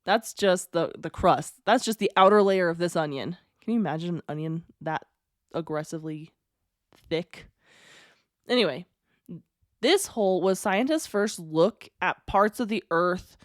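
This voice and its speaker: A woman's voice: lowish voice, lively intonation, a little slow, no echo, studio quality. A woman hosting a casual storytelling podcast, talking in a chatty, digressive way with wry asides. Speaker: podcast host.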